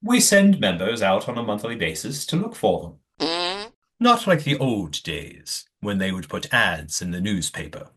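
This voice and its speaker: A man playing a calm, secretive leader of a commune in an audio play, speaking in a formal, measured, evasive way. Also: fairly low pitch, exaggerated voice. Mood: cryptic, assured.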